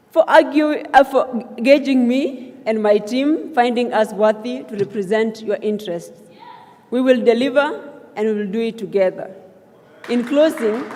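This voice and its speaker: A woman's voice: fairly high pitch, mellow. A young woman from South Sudan giving an earnest, emphatic acceptance speech as a newly elected student association leader, rising to calls of thanks. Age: young adult. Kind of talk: acceptance speech at a swearing-in ceremony. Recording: long reverb of a large hall.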